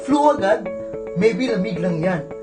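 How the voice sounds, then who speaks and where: A woman's voice: medium pitch, expressive, measured pace, music in the background. A woman with a Filipino accent announcing a scripted radio advertisement.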